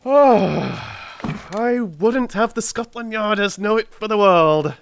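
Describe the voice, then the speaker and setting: A man playing a brisk, gleeful, self-assured gentleman detective in an audiobook, telling things in an animated, emphatic way. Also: high-pitched, gravelly, a little hiss or hum, pitched above the performer's own voice, heavily acted. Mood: amused, exultant.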